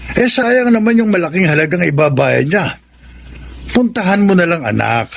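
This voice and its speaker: A man's voice: sits high, expressive, moderate pace, gravelly, fairly bright tone, heavily acted. An actor playing a kindly old farming grandfather in a radio drama, speaking in a fatherly, admonishing way. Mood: coaxing. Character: kindly old grandfather, rural farmer, gentle and protective. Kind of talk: Tagalog radio drama acting.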